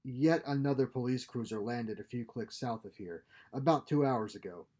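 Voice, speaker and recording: Exiled sci-fi scientist played by a male reader: glib schemer, theatrical, husky, mellow. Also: mid-range voice, normal speed, lightly acted. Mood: wry, confident.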